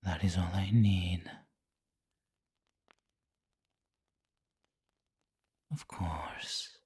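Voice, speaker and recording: A man playing a refined, doting and possessive vampire lord in an intimate ASMR roleplay, with a breathy whisper and formal, tender phrasing. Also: deep voice, mellow, no echo, full character voice. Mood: tender, soothing. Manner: slow, formal, tender, indulgent.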